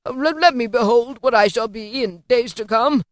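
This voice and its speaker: A woman voicing an old miserly man, terrified and pleading, in a loud, high, desperate voice. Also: moderate pace, no echo, studio quality, lightly acted. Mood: urgent.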